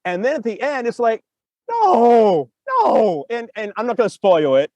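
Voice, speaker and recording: A man hosting a casual discussion over a video call, explaining in an animated, rambling way and acting out reactions. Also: very high-pitched, measured pace, dry recording, dull audio. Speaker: podcast host.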